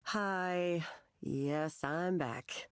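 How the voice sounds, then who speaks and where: An actress playing an anxious, self-mocking young artist in a visual novel, with an American accent and a hesitant, rambling way of talking. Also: female voice, sits low, drawn-out words, clear, resonant tone, dry recording, clean studio sound, full character voice. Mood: awkward, friendly. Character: nervous, self-deprecating, struggling young woman artist. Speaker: counseling client. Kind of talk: visual novel voice acting, conversational confession.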